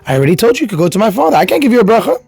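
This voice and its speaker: A man's voice: sits high, big pitch swings, very fast, gravelly, a little mellow, slightly rough, dry recording, soft background music, pitched above the performer's own voice, full character voice. A man voicing an exasperated rabbi in a told story, apologetic but firm. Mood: apologetic refusal. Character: exasperated, apologetic rabbi refusing a persistent pleader.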